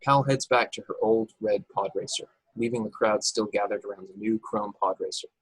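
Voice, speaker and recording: A man's voice: on the low side, brisk, husky, no room sound, rough recording. A man speaking as narrator and organizer of an informal table read over a video call. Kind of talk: scripted narration and casual chat.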